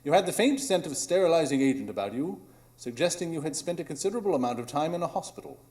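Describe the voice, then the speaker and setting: A man's voice: a little high, lively intonation, quick pace, darkish tone, close to an everyday voice. A man playing a brilliant, coolly analytical detective with a formal, precise manner in a live radio drama. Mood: coolly deductive. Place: small improv theatre stage.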